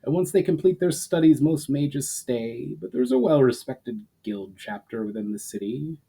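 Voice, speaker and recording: A man's voice: mid-range voice, sing-song, moderate pace, dark tone, husky. A man voicing a learned, courteous fantasy commander in a storybook reading, with a formal and stately manner. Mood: informative.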